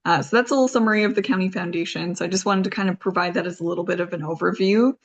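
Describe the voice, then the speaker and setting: A woman's voice: lowish voice, racing. A woman hosting an informal presentation as a community foundation leader, speaking in loosely structured, promotional phrases. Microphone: video call. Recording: slightly dull, lo-fi online call audio.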